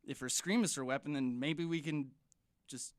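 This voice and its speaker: A woman's voice: low voice, moderate pace, dry recording, clean studio sound, close to an everyday voice. A young woman playing an eager, dramatic teenage friend in an audio drama, earnest and insistent.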